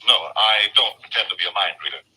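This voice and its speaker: Menacing, proud villain, harsh old radio sound. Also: male voice, fairly low pitch, fast talker, exaggerated voice. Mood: guarded. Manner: blustering, threatening, melodramatic. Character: menacing, proud male villain, suave but short-tempered. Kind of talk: old-time radio mystery acting. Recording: old radio recording, bright and rough.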